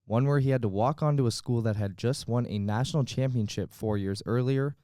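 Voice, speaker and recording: A man co-hosting and narrating a sports documentary with a steady, read-aloud delivery. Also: medium pitch, a little fast, dry recording, studio quality. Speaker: podcast host and narrator.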